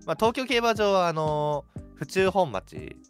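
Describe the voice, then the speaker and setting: A man's voice: sits high, wildly swooping pitch, a little slow. A man co-hosting a radio show in casual chat. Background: background music.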